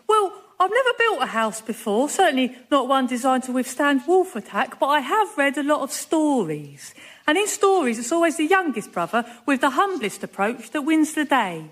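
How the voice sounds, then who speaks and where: High-voiced, naively confident little pig. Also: female voice, very animated, normal speed, fairly bright tone. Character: naive, trusting youngest little pig, full of storybook confidence. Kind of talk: radio sketch comedy acting.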